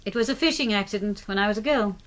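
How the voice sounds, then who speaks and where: A woman's voice: medium pitch, quick pace, fairly bright tone, close to an everyday voice. An actress playing a composed, sardonic British woman doctor in a radio drama, speaking with a British accent in dry, articulate, ironic phrases. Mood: dry, wry.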